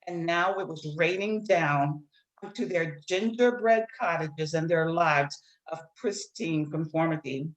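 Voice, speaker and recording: A woman at a literary reading, speaking and reading aloud from nonfiction in a measured, earnest manner. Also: deep voice. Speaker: reader at a literary read-in. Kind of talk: introducing and reading aloud a nonfiction book excerpt. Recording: roomy, echoing sound.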